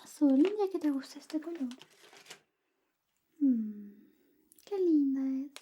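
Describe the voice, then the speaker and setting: A woman speaking softly and often whispering in an ASMR roleplay, playing an affectionate, playful best friend. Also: high-pitched, animated, slow pace. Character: affectionate, clumsy female best friend giving a shave. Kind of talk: ASMR personal attention roleplay.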